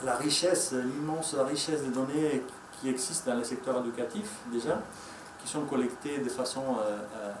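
Homme à l'accent espagnol, hésitant. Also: male voice, medium pitch, fairly even intonation, normal speed, hardly any pauses, background noise. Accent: Spanish. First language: Spanish. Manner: hesitant, many fillers and restarts. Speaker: conference speaker. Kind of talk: conference presentation. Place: conference room. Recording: echoey room, mic from far off.

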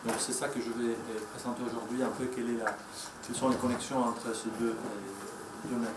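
A Spanish-accented man speaks at a conference lectern, pausing often on fillers and restarting his phrases. His voice is mid-pitched and sounds distant in an echoey hall. Steady room noise sits underneath. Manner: hesitant, many fillers and restarts. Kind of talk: conference presentation. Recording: echoey room, mic from far off.

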